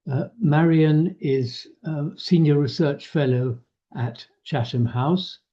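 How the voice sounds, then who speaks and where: Slow, hesitant man over a dull line. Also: a little high. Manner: hesitant, many fillers. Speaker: chair of the committee. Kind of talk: introducing a speaker. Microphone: video call. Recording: dull lo-fi call audio.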